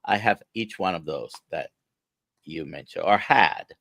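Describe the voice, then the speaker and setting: A deep-voiced man with a Spanish accent hosts a live stream and speaks in halting bursts filled with "uh." His voice has a gravelly edge and is recorded dry and close, with no room sound. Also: expressive, a bit bright, no echo. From grew up speaking Spanish, now near Orlando. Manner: halting, many "uh" fillers, reads viewer questions. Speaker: host, physician. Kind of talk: casual live-stream interview.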